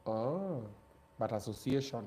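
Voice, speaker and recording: Teasing Kenyan man with lively pitch. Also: a little slow. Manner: playful teasing, laughs often, mixes English and Swahili slang. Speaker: talk show host. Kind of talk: casual teasing chat.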